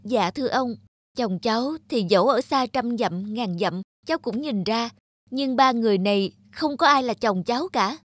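A woman voicing a gentle, devoted young village wife in an animated folktale, speaking earnestly. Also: a little high, moderate pace, dry recording, lightly acted. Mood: earnest, steadfast. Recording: music under the voice.

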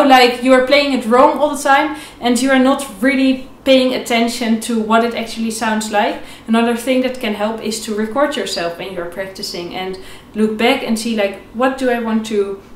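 A young woman with a fairly high voice teaches in an echoey room. She explains informally, step by step, and repeats her key points encouragingly. Some violin is heard around her speech. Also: normal speed, few pauses, faint music behind. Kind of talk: instructional video lesson, explaining informally. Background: violin playing between phrases.

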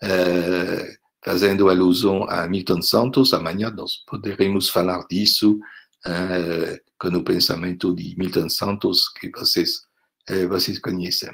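Slow, halting older Frenchman on a video call. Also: deep voice, flat delivery, creaky, a bit bright. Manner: halting, many fillers and tag questions. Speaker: visiting professor. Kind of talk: closing remarks of an online lecture. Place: home room indoors. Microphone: laptop webcam on a video call.

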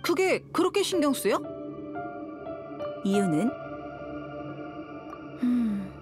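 A woman's voice: a little high, very animated, takes it slowly. An actress voicing a confident, shrewd young female desert mercenary in a video game, cool and teasing in manner. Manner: cool, teasing, self-assured. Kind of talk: video game voice acting, story dialogue. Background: background music.

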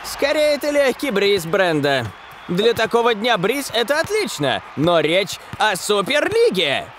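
A man voicing an excitable, grumbling older football commentator in a dubbed cartoon. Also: sits high, unhurried, over music, exaggerated voice. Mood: wry.

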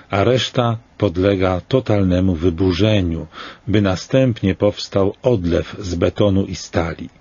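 A man in his sixties reading a scripted commentary in a slow, grave and deliberate way, with rhetorical questions. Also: fairly low pitch, warm tone, husky, dry recording, highs cut off.

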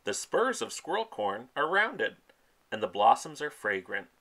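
A man in his thirties reading a children's picture book aloud, with a lively, sing-song delivery of its rhymes and plant names. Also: medium pitch, a little slow. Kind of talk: expressive children's read-aloud. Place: outdoors in a spring forest, and a voice-over on book pages.

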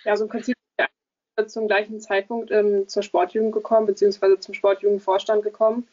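A young woman answering questions on a video call in a steady way. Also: mid-range voice, fast. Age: young adult. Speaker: youth sports board member. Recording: dull lo-fi video call audio.

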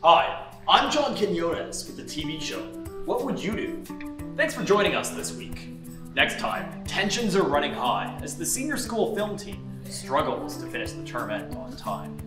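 Self-important TV show host, acted by a man, emphatic and swinging in pitch, recorded off-mic with music behind. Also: very animated, normal speed, roomy sound, recorded from a distance. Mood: presenting. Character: brash, self-important male TV hidden-camera show host. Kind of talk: parody TV presenting.

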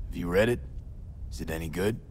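A man acting a laconic, blunt but caring young street mercenary in a video game, speaking in terse, low-key phrases. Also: fairly quick, darkish tone, slight background noise, lightly acted. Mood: quietly curious.

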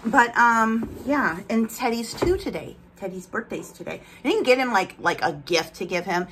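A woman's voice: a little high, animated, moderate pace, fairly bright tone, a little hiss or hum. A woman in her thirties chatting casually in a home vlog, rambling while she unboxes products, with a slight lisp from aligners. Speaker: vlogger. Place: bedroom at home, indoors.

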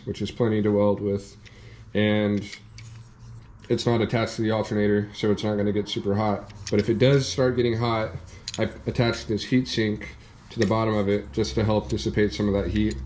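A young man in a workshop, calmly walking through a hands-on repair step by step, with plain practical talk. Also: on the low side. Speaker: off-road channel host demonstrating a repair. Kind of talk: hands-on how-to explanation. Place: indoor workshop at a workbench.

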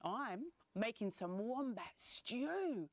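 A woman voicing a cheeky, hungry male dingo in a children's story, gloating in a singsong way with drawn-out words. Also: fairly high pitch, big pitch swings, no echo, full character voice. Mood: friendly, pleased.

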